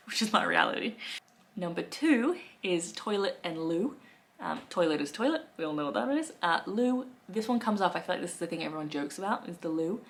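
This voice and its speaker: Chatty Australian woman, lowish voice. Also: creaky. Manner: chatty and wry, with frequent soft laughs and fillers. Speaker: lifestyle vlogger. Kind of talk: casual talk to camera. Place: living room at home.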